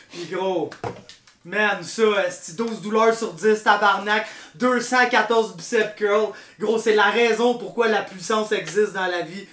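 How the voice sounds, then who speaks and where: A man's voice: sits high, fairly bright tone. A man with a Québécois accent hosting a joking food review podcast, hyped and swearing freely. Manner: hyped, swears a lot, keeps saying man and bro. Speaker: podcast host. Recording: roomy echo.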